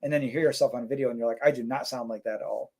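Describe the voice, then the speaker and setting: A man's voice: medium pitch, rapid-fire. Laid-back man chatting on a live stream. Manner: relaxed, rambling, many fillers. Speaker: co-host reading live chat. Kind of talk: casual live-stream chat.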